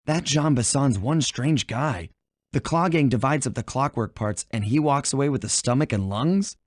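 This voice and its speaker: A man's voice: mid-range voice, expressive, moderate pace, no echo, clean studio sound, lightly acted. A man voicing a suave young animal thief in a cartoon video game, wry, casual and unflappable. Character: suave, laid-back, wisecracking young male animal thief.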